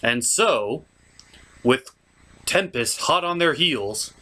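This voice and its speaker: Animated man giving sarcastic recap. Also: medium pitch, slow. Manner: casual, sarcastic. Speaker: reviewer and narrator. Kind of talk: comic movie recap with puppets.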